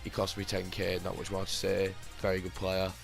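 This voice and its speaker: A slightly hoarse man with a low voice talks through his team in casual gaming commentary. He rambles, circles back and restarts his sentences, and his delivery stays very flat. Faint music plays underneath. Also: brisk. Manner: rambling, with many fillers and restarts. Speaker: gaming channel host.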